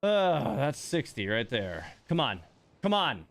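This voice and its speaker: A man's voice: medium pitch, very animated, takes it slowly, fairly bright tone, no room sound, faint music behind. A man hosting a game livestream in casual chat, muttering exasperated asides to himself and his viewers. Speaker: livestream host playing a gacha game.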